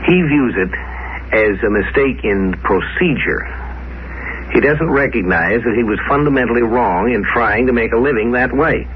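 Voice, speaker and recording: A man hosting a radio programme with measured, musing, conversational commentary. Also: mid-range voice, a little hiss or hum. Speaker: host narrator. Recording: tinny old radio recording, music behind.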